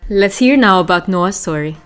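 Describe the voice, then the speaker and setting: A woman's voice: mid-range voice, expressive, moderate pace, smooth, soft background music. A woman hosting an interview podcast, reading a short introduction and then asking questions with fillers. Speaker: podcast host. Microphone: video call.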